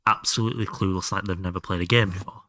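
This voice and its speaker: A man's voice: low-pitched, fairly even intonation, fast, bright, forceful tone. A man doing casual live game commentary and chatting to viewers, sweary and self-deprecating. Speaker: live streamer playing a video game.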